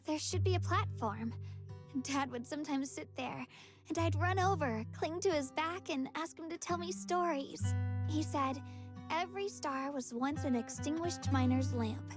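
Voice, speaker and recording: A woman playing a gentle, wistful young girl in a video game, speaking reflectively and tenderly. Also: sits high, measured pace. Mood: nostalgic, wistful. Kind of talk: video game story dialogue. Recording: guitar music behind the voice. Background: plucked guitar music.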